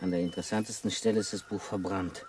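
Ein Schauspieler spielt in einem deutschen Hörspiel einen gelangweilten, verkrüppelten Ex-Raufbold, der zum Leser wurde, schlicht und neckend im Ton. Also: male voice, sits low, fairly even intonation, quick pace, darkish tone, close to an everyday voice. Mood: musing, reading aloud. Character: crippled former brawler turned bookish, bored, teasing survivor. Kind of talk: German radio play acting. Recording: radio play with clear background noise.

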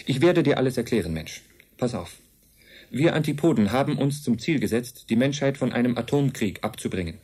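An actor in a German radio drama playing a calm, earnest envoy of an advanced race, speaking in a measured, matter-of-fact way. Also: male voice, mid-range voice, breathy edge. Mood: earnest, explanatory.

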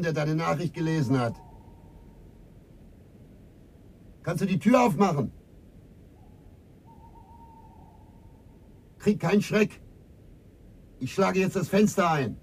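A man's voice: fairly high pitch, breathy edge, heavily acted. An actor playing a brooding, insistent man in a radio crime drama, speaking in a terse, grave, intense way. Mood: urgent, calling out. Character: brooding, insistent man searching for a silent runaway boy. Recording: loud traffic noise behind.

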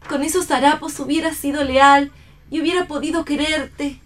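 A woman's voice: high-pitched, fairly even intonation, normal speed, close to an everyday voice. An actress in a radio play voicing an elegant, privileged young woman disillusioned in love, melancholy and theatrical. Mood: resigned, regretful.

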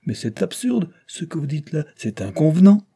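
A man voicing an irate, stubborn older judge who shouts and hectors. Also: on the low side, very animated, moderate pace. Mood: furious.

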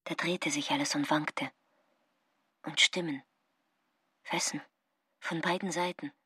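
An actress playing an awed, uneasy woman at a supernatural gathering in a radio play, with a husky, breathy voice. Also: low voice, fairly even intonation, slow, sharp tone, big-room sound, exaggerated voice. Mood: dazed whispering.

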